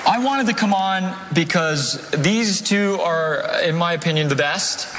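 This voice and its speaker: A man's voice: sits high, unhurried, background noise. Upbeat man on stage with crowd. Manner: energetic, upbeat, playful with the crowd. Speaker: magician performer. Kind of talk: stage patter with audience. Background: music and audience.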